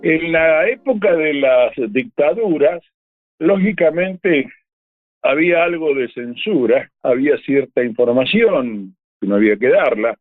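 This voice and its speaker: An older man with an Argentine accent, a veteran announcer reminiscing in an interview, picking his words carefully and haltingly. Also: on the high side, lively intonation, no echo, faint music behind. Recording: dry, narrow phone-like line.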